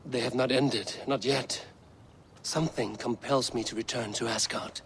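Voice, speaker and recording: A man's voice: medium pitch, steady tone, fairly bright tone, a little hiss or hum, close to an everyday voice. A man playing a stoic, commanding Viking leader. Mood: awed, grave. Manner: measured, grave. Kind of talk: dramatic video game acting.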